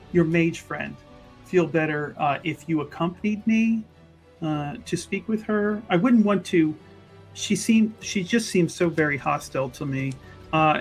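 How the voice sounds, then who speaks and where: A man's voice: fairly high pitch, breathy edge. A man voicing a polite, fussy, well-traveled Chantry woman investigator in a tabletop game, speaking formally and hesitantly with repeated words. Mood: tentative, anxious. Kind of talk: tabletop roleplay dialogue.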